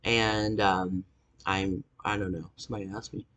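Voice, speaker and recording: A man in his forties telling a personal story to camera, halting with fillers and angry swearing. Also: low voice, flat delivery, fairly bright tone. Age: 40s. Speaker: vlogger. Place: indoors, dim room.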